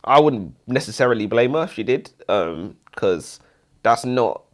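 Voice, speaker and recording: A man's voice: mid-range voice, lively intonation, a little slow. A British man bantering casually as a book review co-host, blunt, opinionated and jokey.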